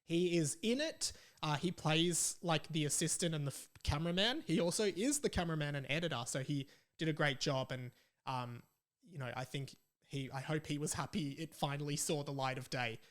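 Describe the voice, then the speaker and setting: A man's voice: on the high side, brisk. A young adult man with an Australian accent, chatting casually as a solo podcast host in an informal, self-deprecating way.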